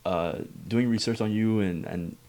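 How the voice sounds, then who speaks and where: A man hosting an interview podcast, asking halting questions and answering with frequent short affirmations. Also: fairly low pitch, a little mellow. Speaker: podcast host. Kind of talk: casual interview chat.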